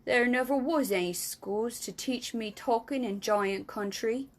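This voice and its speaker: A woman voicing a kindly, very old male giant in a storybook reading, booming and drawn out, mangling his words and grammar. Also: a little slow, close to an everyday voice. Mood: apologetic.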